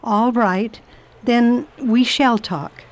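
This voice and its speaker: A woman's voice: fairly high pitch, extremely slow. A woman playing a determined volunteer nurse in a radio play, formal, forthright and insistent. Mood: concerned.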